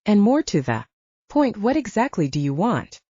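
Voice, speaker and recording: A woman's voice: mid-range voice, big pitch swings, moderate pace, dark tone, husky, no echo, lo-fi sound, voice pushed up. A woman voicing a commanding, level-headed male werewolf pack leader with a probing manner in an audiobook. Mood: stern, probing. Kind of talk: audiobook character dialogue.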